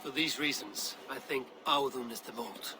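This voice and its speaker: A stern Viking warrior acted by a man for a cinematic video game. He speaks tersely and decisively at a middle pitch, with a measured, commanding delivery. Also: lightly acted. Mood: deliberate.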